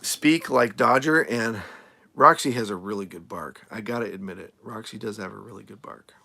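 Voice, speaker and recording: A man who is a nomad RV creator, chatting casually on a live stream as he answers viewer comments, rambling with lots of fillers and restarts, his voice going a little hoarse. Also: fairly low pitch, normal speed, pushed and bright. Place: RV camp in the desert, outdoors.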